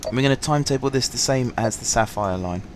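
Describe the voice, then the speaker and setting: A man with a sore throat, slightly husky, casually commenting on a game through a headset, rambling and apologetic with many ums. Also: medium pitch, fast talker, background noise. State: sore throat, fighting coughs. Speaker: gaming channel host. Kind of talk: casual let's-play commentary.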